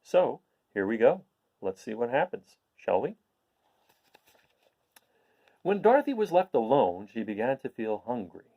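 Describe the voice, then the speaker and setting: A man reading a storybook aloud as a host, in an expressive, explanatory manner. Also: mid-range voice, unhurried, pauses often, phone-quality sound. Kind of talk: expressive storybook read-aloud with informal introduction.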